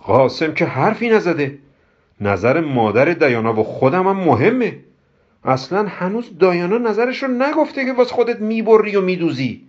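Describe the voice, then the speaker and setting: A man's voice: on the high side, big pitch swings, hardly any pauses, a little mellow, slightly rough, pitched above the performer's own voice. A man voicing an indignant, stern, protective mother in an acted audiobook, on a dull recording. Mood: indignant, angry. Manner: angry, objecting, serious.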